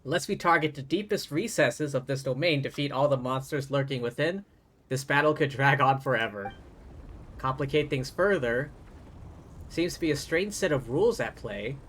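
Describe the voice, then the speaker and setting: Male game streamer, mid-pitched voice. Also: moderate pace, fairly bright tone, a little hiss or hum. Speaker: livestreamer. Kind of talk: game stream, reading dialogue aloud with casual asides. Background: game music.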